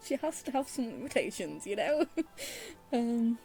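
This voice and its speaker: Mellow, slow-talking woman gamer. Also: on the high side, sing-song, faint music behind. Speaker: gamer commenting on a playthrough. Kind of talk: casual let's play commentary.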